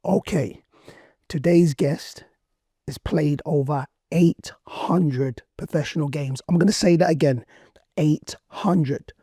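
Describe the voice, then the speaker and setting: A man hosting a casual interview podcast, his voice hoarse and nearly gone, talking in excitable slang. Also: fairly high pitch, slow, no echo, clean studio sound. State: losing his voice. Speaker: podcast host.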